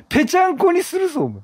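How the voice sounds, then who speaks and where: A man doing excitable, chatty game commentary, reacting aloud and bantering with the characters. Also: extremely high pitch, no echo. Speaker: gaming streamer, let's play host.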